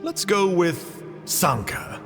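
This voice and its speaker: A man's voice: sits high, wildly swooping pitch, takes it slowly, fairly bright tone, big-room sound, faint music behind, full character voice. An actor playing a sly, teasing outsider man in a video game, glib and playfully evasive as he talks. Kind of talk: English video game voice acting.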